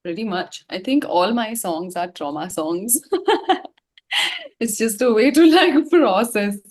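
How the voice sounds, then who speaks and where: A woman's voice: medium pitch, a little slow. A woman with an Indian English accent speaking informally and reflectively as a singer-songwriter in an interview. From Gangtok, India. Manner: informal, expressive, reflective, slangy. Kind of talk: casual, candid interview talk.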